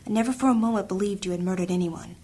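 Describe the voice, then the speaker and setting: A woman playing a gentle young healer princess. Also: mid-range voice, rapid-fire, a little hiss or hum, lightly acted. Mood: pleading whisper. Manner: soft, urgent, often hushed.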